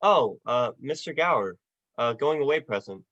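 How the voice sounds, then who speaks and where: A man playing an earnest, restless young man with big dreams in a radio play, speaking haltingly. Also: mid-range voice, animated, a bit bright, dry recording, highs cut off, lightly acted. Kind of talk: 1940s-style radio play acting.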